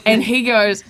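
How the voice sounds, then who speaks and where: A New Zealand woman in a dry, close studio sound, chatting as a comedy guest. Her pitch swings widely and animatedly as she jokes her way through casual stories. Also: dry recording, low-quality recording. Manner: jokey, animated. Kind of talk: casual chat, comic storytelling. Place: radio studio.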